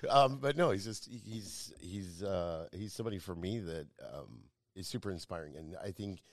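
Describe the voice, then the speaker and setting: A man's voice: low-pitched, lively intonation, moderate pace, no echo, clean studio sound. A man as a podcast guest, telling anecdotes in a casual, effusive way. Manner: effusive, informal.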